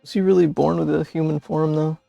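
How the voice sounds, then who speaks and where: A man's voice: on the high side, flat delivery, brisk, vocal fry, warm tone, dry recording, highs cut off. A young man streaming a game, chatting casually and giving offhand commentary close to the microphone. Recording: close dry mic, game music behind.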